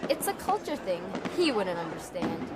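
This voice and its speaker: A woman playing a proud young warrior general in an audio drama, commanding and quick to fluster, with music behind her. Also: on the low side, big pitch swings, a loud crowd. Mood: calm, teasing. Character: proud young female general, warrior hero, hurt sister. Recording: background music under the voice.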